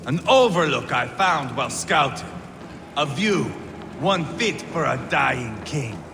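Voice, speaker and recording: A man's voice: fairly high pitch, sing-song, slow, vocal fry, music in the background, heavily acted. A man acting a cruel, theatrical Viking warlord in a video game, gloating and mocking as he savors his words. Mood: grandiose.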